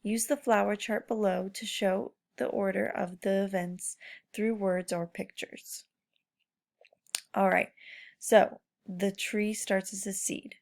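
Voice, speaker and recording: A woman with a gravelly, creaky edge to her mid-pitched voice teaches young children informally. She speaks as a schoolteacher, guiding them with questions and simple instructions. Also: fairly even intonation, slow pace. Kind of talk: informal read-aloud lesson for children.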